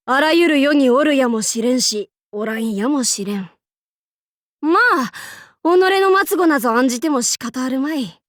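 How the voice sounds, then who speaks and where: A woman's voice: on the high side, no echo, studio quality. A woman voicing a bold, androgynous young demon-king warlord in an anime game, with boastful, archaic lordly speech and playful swagger. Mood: pensive. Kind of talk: anime game character voice lines.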